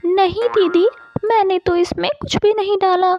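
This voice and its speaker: A woman's voice: very high voice, steady tone, moderate pace, a little mellow, muffled recording, pitched above the performer's own voice. A woman voicing an innocent, easily hurt young daughter-in-law in a cartoon moral story, with an earnest, plaintive manner. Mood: hurt, pleading.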